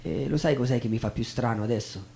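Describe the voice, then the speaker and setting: An actor plays an embittered son of the working class. He delivers a theatrical monologue in a man's mid-pitched voice, looking back with hurt and pride. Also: fast talker, creaky, warm tone, close to an everyday voice. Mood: bitter, emphatic. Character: embittered working-class son recalling his factory-worker father.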